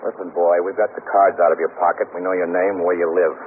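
An actor playing a tough, persistent police detective lieutenant in a radio drama, blunt and pressing. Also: male voice, deep voice, little pitch movement, quick pace, natural voice. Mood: stern, pressing. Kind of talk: 1950s radio drama acting. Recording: muffled, noisy 1950s radio recording.

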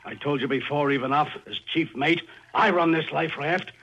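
A man's voice: a little high, normal speed, fairly bright tone. An actor playing a harsh, commanding male chief mate in a 1940s radio drama. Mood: gruff. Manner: gruff, commanding. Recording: noisy dull 1940s radio broadcast.

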